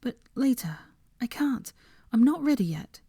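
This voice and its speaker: A woman's voice: fairly high pitch, sing-song, unhurried, dark tone, breathy edge, dry recording, studio quality, voice pushed up, heavily acted. A woman voicing a flustered, evasive young witch in an audiobook, breathless and defensive in manner. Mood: evasive, distracted.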